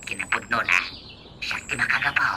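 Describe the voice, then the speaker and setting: Woman playing a bright, excitable old father. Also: extremely low pitch, a little hiss or hum, exaggerated voice. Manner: exclamatory, drawling, chuckling. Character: excitable, chuckling old father who dotes on his child. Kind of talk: Ilocano radio drama acting.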